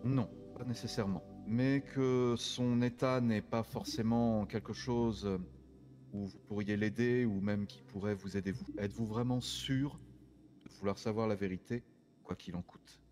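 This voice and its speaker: A man playing a solemn, careful investigator in a game roleplay, speaking formally and with measured phrasing. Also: on the low side, steady tone, darkish tone, soft background music, lightly acted. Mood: grave, probing. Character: solemn male investigator, grave and careful, cryptic.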